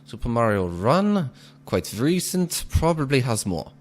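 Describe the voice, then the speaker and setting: A man giving casual gameplay commentary while speaking in a put-on, exaggerated foreign accent, measured and deliberate, chuckling now and then. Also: mid-range voice, very animated, slight background noise. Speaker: gaming video host.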